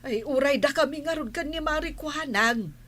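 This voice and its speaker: An actress playing a bossy, scolding village wife in a radio comedy, with an Ilocano Filipino accent. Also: female voice, sits high, moderate pace, fairly bright tone. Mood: nagging.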